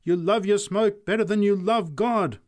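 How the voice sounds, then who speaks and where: Storybook role: zealous woman preacher, played by a man, high and shrill, crying out her exhortations. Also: normal speed, natural voice. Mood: exhorting. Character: fervent female street preacher, shrill and exultant. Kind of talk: storybook character voice.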